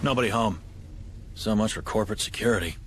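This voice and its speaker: An actor playing a wary Marine squad soldier in a video game, with a clipped military manner. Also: male voice, lowish voice, fast talker, breathy edge. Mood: uneasy. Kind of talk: scripted game military dialogue.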